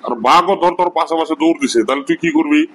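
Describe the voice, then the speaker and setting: A man's voice: on the high side, sing-song, racing, a bit bright, a little hiss or hum. A man voicing an exasperated, scolding village teacher. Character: exasperated middle-aged male village teacher.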